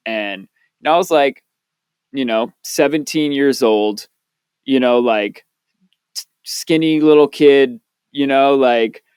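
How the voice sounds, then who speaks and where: A man's voice: mid-range voice, slow, long pauses, smooth, no echo. A young man, a podcast co-host, chatting casually, swearing freely and telling stories with energy. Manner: laid-back, swears freely, animated storytelling. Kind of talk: casual chat.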